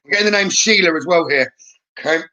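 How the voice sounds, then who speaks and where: A man hosting a live psychic reading stream, talking informally to viewers and thinking aloud in a halting way as he reads comments. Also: fairly high pitch, sharp tone.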